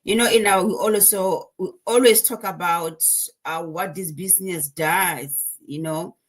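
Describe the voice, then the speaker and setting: A woman from Botswana hosting a live stream over a video call, hurried and halting with many fillers. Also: lowish voice. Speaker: host, digital business mentor.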